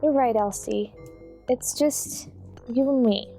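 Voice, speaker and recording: A woman's voice: on the high side, takes it slowly, creaky, dark tone, faint music behind. A woman voicing a small, upset, pleading lost child in a tabletop game. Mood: tender.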